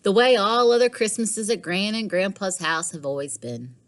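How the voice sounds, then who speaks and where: A woman teacher reading a children's storybook aloud in a measured, animated way. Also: medium pitch. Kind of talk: expressive storybook read-aloud.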